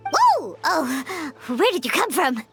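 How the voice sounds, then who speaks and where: Little fairy sidekick, very high childlike squeak, animated intonation, chirpy, excitable, video game, music behind. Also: female voice, takes it slowly, exaggerated voice. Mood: curious. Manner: excitable, refers to herself in third person. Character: small chirpy childlike female fairy companion.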